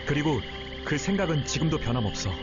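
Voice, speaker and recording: A man's voice: fairly high pitch, big pitch swings, a little fast, dull audio. A man playing a kind, patient and sincere young male teacher in a game story. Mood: earnest, reflective. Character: gentle, earnest, seasick young male teacher, idealistic. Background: loud music.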